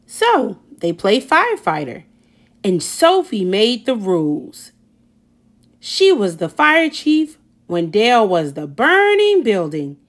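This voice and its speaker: A woman narrating a picture book for children as a storyteller, with expressive, dramatic emphasis on key words. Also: mid-range voice, slow. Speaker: children's read-aloud storyteller.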